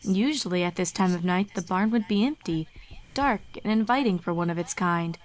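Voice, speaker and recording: A woman's voice: lowish voice, normal speed. A woman reading a scripted story as a dramatic narrator. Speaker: fan fiction narrator. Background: music under the reading.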